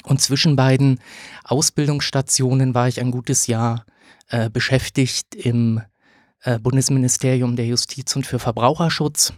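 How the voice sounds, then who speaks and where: Halting man with a dry sound. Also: mid-range voice, fairly even intonation, no echo. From Germany. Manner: many filler sounds and pauses. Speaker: podcast guest, lawyer. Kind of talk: hesitant interview answers.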